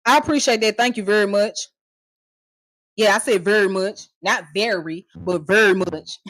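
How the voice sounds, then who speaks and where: Woman streamer, mid-range pitch, heated mocking tirade, dry close recording. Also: moderate pace, a bit bright, no echo, poor audio. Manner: heated, profane and mocking, with scornful laughs; confrontational, addressing viewers directly. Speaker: online streamer. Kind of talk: ranting live commentary.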